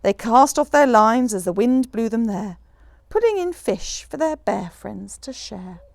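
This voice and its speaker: A woman reading a rhyming children's picture book aloud in an expressive, rhythmic storyteller's manner. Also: on the high side, unhurried, gravelly. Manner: animated, rhythmic rhyming delivery.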